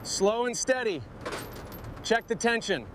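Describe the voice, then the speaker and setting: Male actor as workshop helper, very high voice for a man, terse, practical, over clear vehicle noise. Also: lively intonation, slow, heavily acted. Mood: focused. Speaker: workshop crew member. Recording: noisy, with vehicle and clanking sounds.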